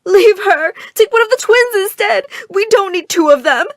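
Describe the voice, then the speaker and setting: A woman's voice: a little high, big pitch swings, moderate pace, dry recording, studio quality. A woman voicing an overbearing, snobbish, money-hungry mother, melodramatic and scolding, in a narrated story. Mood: frantic. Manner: shouting, scolding, dramatic.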